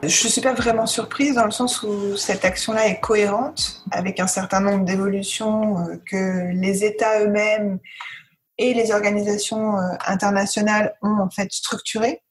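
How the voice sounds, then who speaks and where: A female researcher answers in a medium-pitched voice. Her delivery is that of an academic explaining an idea off the cuff in an interview. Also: steady tone, normal speed. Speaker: sociologist, researcher, interview guest.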